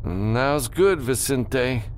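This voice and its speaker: A man's voice: mid-range voice, lively intonation, extremely slow, background noise, voice pushed up. A man voicing a seasoned, unflappable male spaceship pilot with a dry, matter-of-fact manner, in a science fiction audiobook. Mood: encouraging.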